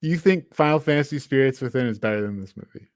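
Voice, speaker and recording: A man hosting a casual film review discussion, thinking aloud in an informal, halting way. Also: medium pitch, no room sound, studio quality. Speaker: podcast host.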